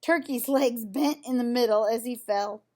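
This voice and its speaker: A woman reading a children's storybook aloud in an expressive, deliberate way. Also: a little high. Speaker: storybook reader. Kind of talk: children's read-aloud narration with brief asides.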